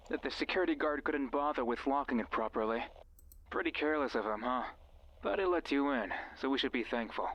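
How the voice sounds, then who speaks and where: An actor playing a mysterious, controlling friend who coaxes and instructs the listener over a radio in a horror game. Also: male voice, mid-range voice, a bit bright, dull audio. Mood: coaxing. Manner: instructing, coaxing, addresses listener directly. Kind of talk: horror visual novel voice acting. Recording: music behind the voice.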